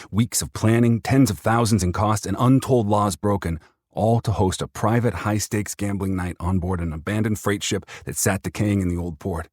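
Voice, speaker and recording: A man's voice: low-pitched, darkish tone, slightly rough, no echo, clean studio sound. A man reading first-person fiction as an audiobook narrator, performing the text in character.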